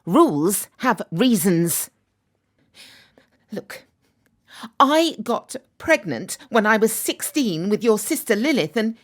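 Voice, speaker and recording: A woman acting in an audio play as a wry, frank, exasperated middle-aged mother. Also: a little high. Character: exasperated, frank mother, once a teenage mum.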